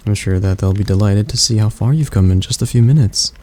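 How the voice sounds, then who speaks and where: A man voicing a male demon tutor who calmly coaches a young royal in an ASMR roleplay, speaking slowly and softly in a coaxing way. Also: fairly low pitch, slight background noise, natural voice. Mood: reassuring. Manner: slow, soft-spoken, coaxing.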